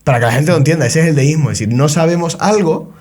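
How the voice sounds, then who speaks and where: A man's voice: fairly high pitch, quick pace, a little mellow. A young man, co-hosting a casual conversation and explaining ideas at length with joking, self-deprecating asides. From Canary Islands. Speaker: podcast co-host.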